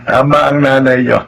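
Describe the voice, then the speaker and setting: Gravelly, kindly old grandfather. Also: medium pitch, fairly bright tone, full character voice. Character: kindly old grandfather giving gentle advice. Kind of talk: Tagalog radio drama acting.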